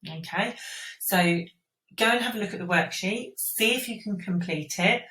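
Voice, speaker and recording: A woman's voice: medium pitch, normal speed, sharp tone. A woman teacher giving an informal step-by-step school science explanation, often checking in with the listener. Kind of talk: explanatory school revision lesson. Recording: roomy echo, distant microphone.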